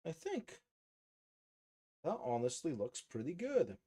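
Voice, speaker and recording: A man's voice: on the high side, slow pace, breathy edge. A man with a slight Polish accent, chatting casually and rambling while painting miniatures on a live stream. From United States, raised speaking Polish. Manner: relaxed, rambling, many fillers and restarts.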